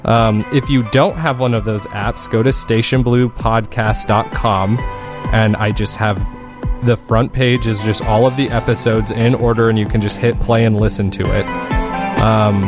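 A man's voice: on the low side, fairly even intonation, moderate pace, over music. A man speaking as an interview guest, chatting casually and enthusiastically in rambling explanations. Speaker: guest, fiction podcast maker.

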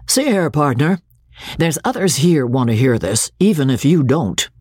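Earnest folksy stage hero, a lady putting him on, kinda husky, audiobook. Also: deep voice, very animated, normal speed, a little mellow, no room sound, full character voice. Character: rough brave showboat leading man, gallant.

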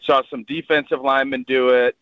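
A man giving casual football analysis on a radio morning show, animated and talkative. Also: mid-range voice, a little fast, dry recording, narrow, tinny audio. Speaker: former player, radio analyst. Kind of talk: casual radio sports talk.

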